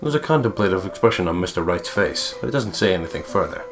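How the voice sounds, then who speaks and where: Low-voiced playful man reading a game aloud. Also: expressive, fast talker, over music. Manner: sweary, playful, theatrical reading. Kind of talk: joking narration of a visual novel.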